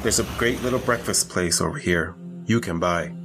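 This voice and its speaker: A man's voice: low-pitched, normal speed, gravelly, over music. A man playing a grudging, irritable secret agent in an audio drama, dry and exasperated with his ex-lover. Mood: wary, practical.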